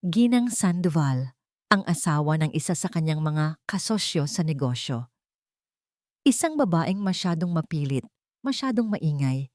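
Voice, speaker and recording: Low-pitched woman narrating romantic drama. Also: very animated, unhurried, a little mellow, no echo, studio quality.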